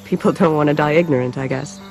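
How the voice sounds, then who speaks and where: An actress playing a gentle, weary adult mother in a video game, speaking softly and reflectively. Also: sits low, fast talker, over music. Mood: wistful. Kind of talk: naturalistic video game acting.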